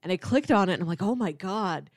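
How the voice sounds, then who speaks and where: A woman's voice: lowish voice, lively intonation, quick pace, no room sound, clean studio sound. A woman co-hosting a podcast in casual, conversational chat. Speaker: podcast co-host.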